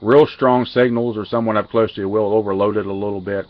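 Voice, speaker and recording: A man giving an unscripted technical walkthrough as a radio hobbyist, halting and pausing mid-sentence. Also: on the low side, steady tone, no echo. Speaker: amateur radio hobbyist demonstrating equipment. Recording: dull lo-fi audio, faint radio hiss.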